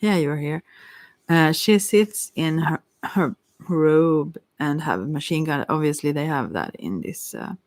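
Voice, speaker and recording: A woman's voice: low-pitched, animated, unhurried, a little mellow, no room sound. Hesitant woman giving a close, dry ASMR reading. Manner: hesitant, stammering, often trailing off and rephrasing. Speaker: tarot reader. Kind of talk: intimate ASMR tarot reading, casual and rambling.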